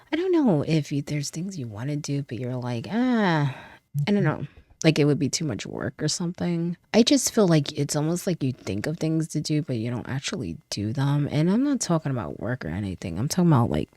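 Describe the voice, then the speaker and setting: A woman with a very low, mellow voice, congested from a cold. She gives a relaxed, chatty tarot reading, often sinking into a soft half-whisper. The sound is close and dry. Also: no room sound. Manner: casual and chatty, with frequent laughs and fillers. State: stuffy with a cold. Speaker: tarot reader. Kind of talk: casual tarot reading to camera.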